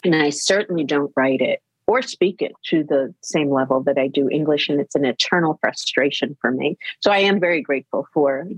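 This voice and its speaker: Middle-aged woman, author, podcast co-host, animated storyteller, husky voice. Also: low-pitched, a little fast, few pauses, dry recording, poor audio. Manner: lively storyteller, laughs easily. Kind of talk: casual podcast conversation.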